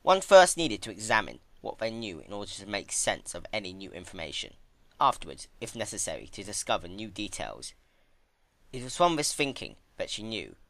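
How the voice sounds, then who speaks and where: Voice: sits low, gravelly, a bit bright. A narrator giving a dramatic scripted reading of a story.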